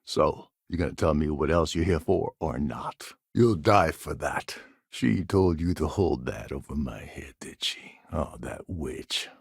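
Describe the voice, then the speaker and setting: Gravelly, sardonic roguish starship captain. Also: male voice, extremely low pitch, lively intonation, measured pace, no room sound, studio quality, heavily acted. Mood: peeved, sardonic, then quietly bitter. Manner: sardonic, smooth-talking schemer. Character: roguish, wry male starship captain and former pirate. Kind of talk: video game character dialogue.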